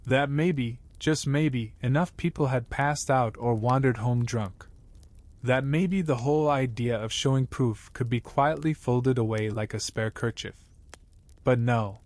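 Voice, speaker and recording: A man narrating a scripted bedtime story in a measured, wry way. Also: mid-range voice, a little mellow.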